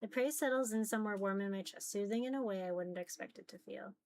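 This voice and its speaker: A woman's voice: medium pitch, fast, a little mellow. A woman reading a visual novel aloud as dramatic narration.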